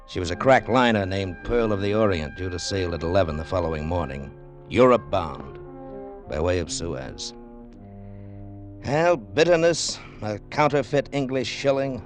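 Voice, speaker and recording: An actor playing a tough, wry, broke American adventurer in an old radio drama, clipped and world-weary. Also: male voice, lowish voice, natural voice. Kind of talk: 1940s-50s radio drama acting and narration.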